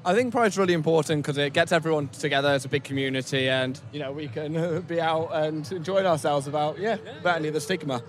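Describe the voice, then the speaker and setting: Relaxed man from rural Yorkshire. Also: a little high, quick pace, few pauses, slight background noise. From North Yorkshire countryside. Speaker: festival goer. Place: outdoor street festival.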